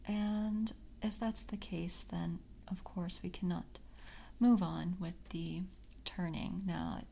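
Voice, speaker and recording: Casting: woman as courteous vampire coven interviewer, ASMR roleplay, soft half-whisper, unhurried delivery, slight background noise. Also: medium pitch. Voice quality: soft, breathy, often whispered. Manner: hushed, halting, formally courteous. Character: polite vampire coven member screening a candidate.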